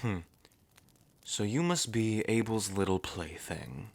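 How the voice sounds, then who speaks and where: A man voicing a wry, gentle male dragon archmage and royal advisor who is self-deprecating and easygoing. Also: on the low side, expressive, takes it slowly, vocal fry, exaggerated voice.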